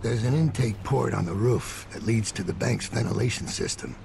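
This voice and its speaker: Gravelly, grim old assassin. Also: male voice, fairly low pitch, normal speed. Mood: grim, focused. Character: weary, ailing old master assassin, grim mentor. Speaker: mentor. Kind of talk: dramatic game dialogue. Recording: clear background ambient noise.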